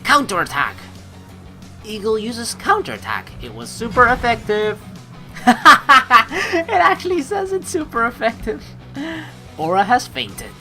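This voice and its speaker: A let's play host giving rambling, excitable commentary on a story game and reading its text aloud. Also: mid-range voice, unhurried. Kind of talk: game playthrough commentary with dramatic read-aloud. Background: game music.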